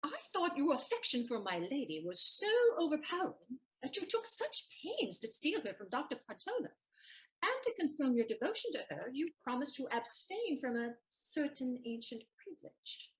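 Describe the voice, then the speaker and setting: A sharp-tongued young maid played by a woman in a stage period comedy. Her voice is high and swings widely in pitch as she speaks archly, teasing and wary. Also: sing-song, moderate pace, poor audio. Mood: wary, polite.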